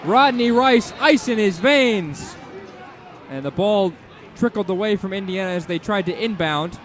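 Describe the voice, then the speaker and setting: A high-voiced American man calls live basketball on the radio. He is an excitable, animated play-by-play announcer, and a loud crowd and arena noise fill the space behind him. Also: measured pace. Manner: animated, excitable sportscaster delivery. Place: indoor basketball arena broadcast position.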